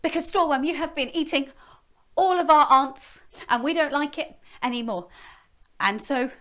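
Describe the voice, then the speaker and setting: A woman voicing a young princess in a told story. She is brave but scared, and she pleads in a rushed, breathless way. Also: sits high, fairly bright tone, voice pushed up. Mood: pleading.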